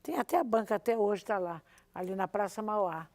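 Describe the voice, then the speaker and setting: A woman's voice: medium pitch, fairly quick, darkish tone. An older woman, a radio drama veteran, reminiscing emphatically and seeking agreement. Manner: emphatic, repeats points, seeks agreement. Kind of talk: reminiscing in conversation.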